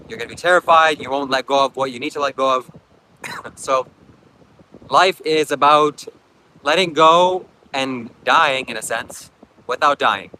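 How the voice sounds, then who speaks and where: Bright-voiced man with Ukrainian accent. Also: medium pitch, poor audio. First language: not English. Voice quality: frequent coughing, rambling and reflective. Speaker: livestream host. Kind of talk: casual livestream chat reading comments. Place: outdoors at a beach. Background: faint wind.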